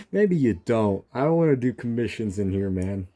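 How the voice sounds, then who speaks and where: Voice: medium pitch, animated, a little slow, mellow. A streamer giving casual, sarcastic commentary while reacting to a video game, talking back to the characters.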